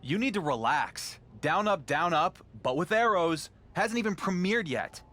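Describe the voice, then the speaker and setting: An actor playing a sarcastic, exasperated champion wrestler in a video game, dry and quick to defend himself. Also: male voice, high-pitched, animated, bright, forceful tone, exaggerated voice. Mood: defensive. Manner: dry, sardonic retorts, defensive under accusation.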